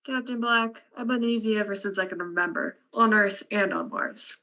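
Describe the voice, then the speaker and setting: Woman as bright-voiced, musing expedition scientist. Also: mid-range voice. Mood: wry, detached. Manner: measured, musing, wry. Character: fatalistic, cerebral male scientist on a Mars expedition. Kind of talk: student science fiction radio play.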